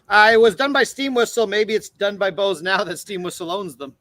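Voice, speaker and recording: A man from Canada banters on a casual beer show, reading viewer comments in a bright, forward voice. He jokes around and slips into goofy accents. The sound is close and dry. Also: sits high, fairly even intonation, normal speed, no room sound. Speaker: podcast co-host reading chat comments.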